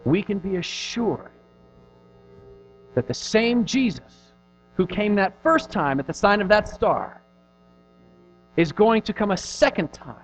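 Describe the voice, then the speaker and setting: A man in his thirties preaching a sermon in an earnest, conversational way, pushing hard on some phrases and dropping to a hush on others. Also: high-pitched, animated, takes it slowly, long pauses. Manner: earnest, conversational preaching with rising and falling emphasis. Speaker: pastor. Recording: dull lo-fi recording with faint music.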